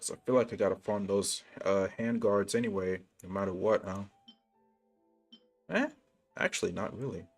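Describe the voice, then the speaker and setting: A man's voice: fairly low pitch, moderate pace, long pauses, soft background music. A man livestreaming a video game, chatting casually and talking to viewers in chummy slang. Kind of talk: casual gaming stream chat.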